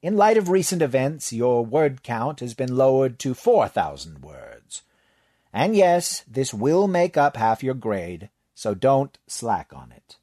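A man voicing a stern male professor who speaks slowly and formally. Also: medium pitch, very animated, mellow, no echo, clean studio sound, pitched above the performer's own voice. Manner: measured, slow, formal.

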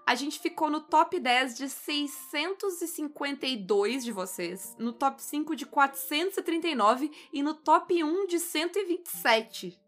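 A woman's voice: sits high, expressive, unhurried, few pauses, a bit bright, soft background music. A woman co-hosting a podcast in casual chat, wry and analytical about the show's numbers. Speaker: podcast co-host.

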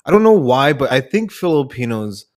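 Mid-pitched man in casual, halting chat. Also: very animated.

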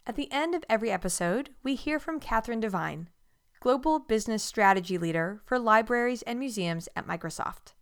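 A woman's voice: fairly high pitch, expressive, a little fast, clean tone, no room sound, clean studio sound. A woman hosting an interview podcast, putting prepared questions and introductions to her guests.

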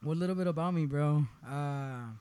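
A man's voice: a little high, a little fast, dark tone. A man in his twenties from Honduras, a podcast guest in a barbershop, telling his story in a laid-back way and often asking "you know what I mean". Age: 20s. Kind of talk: casual personal storytelling.

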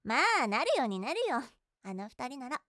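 女性声優が、のんびりしていて人をからかうのが好きな女子高生を演じている。. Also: female voice, on the high side, expressive, brisk, dry recording, studio quality, natural voice. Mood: easygoing. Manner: playful, teasing. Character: teasing, easygoing high school girl. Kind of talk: voice drama acting.

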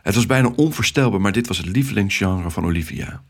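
Low, slightly husky male storyteller. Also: expressive, quick pace. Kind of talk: scripted first-person children's story narration.

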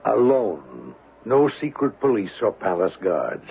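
A calculating American traitor in a radio play, very hoarse and husky in tone. He bargains coolly, and the old broadcast sounds narrow and thin. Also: fairly low pitch, sing-song, takes it slowly, full character voice. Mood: guarded, bargaining. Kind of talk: radio drama acting.

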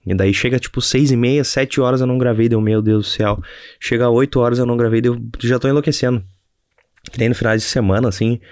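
A man's voice: lowish voice, a little mellow, no echo, clean studio sound. A young man with a Rio Grande do Sul accent, hosting a rambling, casual solo podcast with many restarts and tag questions. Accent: Rio Grande do Sul Portuguese. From southern Brazil. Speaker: podcast host.

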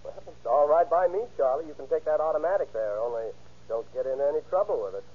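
An actor playing an easygoing but wary friend in old-time radio drama style. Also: male voice, fairly high pitch, fast, husky, lo-fi sound. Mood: casual, wary. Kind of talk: 1930s-40s radio drama acting.

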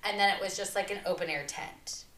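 A woman's voice: mid-range voice, fairly quick, a bit bright, slight background noise. A young woman, co-hosting a podcast in casual chat and saying "like" often. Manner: chatty, uses "like" often. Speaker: podcast co-host. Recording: echoey room.

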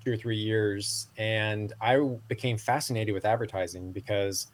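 A man's voice: fairly low pitch, fairly even intonation, moderate pace, dry recording. A man in his forties, a podcast guest, talking casually with many fillers and restarts. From Southeast Asia. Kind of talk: casual interview talk.

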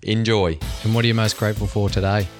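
A man's voice: lowish voice, flat delivery, fast talker, soft background music. A man hosting a podcast, reading a polished intro and asking interview questions. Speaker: podcast host.

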